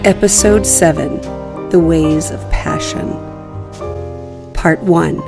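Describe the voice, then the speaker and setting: A woman's voice: low-pitched, takes it slowly, gravelly, music in the background. A woman announcer reading a scripted show introduction.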